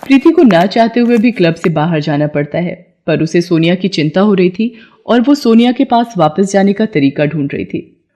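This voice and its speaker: A woman's voice: on the low side, expressive, moderate pace, warm tone, muffled recording. A woman narrator reading a scripted moral story.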